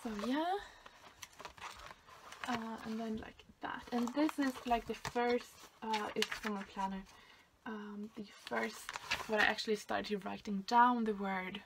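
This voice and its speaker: A woman's voice: a little high, steady tone, unhurried, noisy background. A young woman from Sweden with a Swedish accent, chatting casually and hesitantly through a craft album, with many fillers. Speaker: craft vlogger. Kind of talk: casual show-and-tell.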